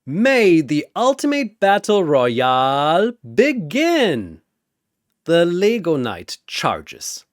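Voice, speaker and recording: Dramatic man narrator, widely swinging pitch. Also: huge pitch swings, no echo, studio quality. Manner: theatrical, deliberate. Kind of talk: dramatic scripted battle narration.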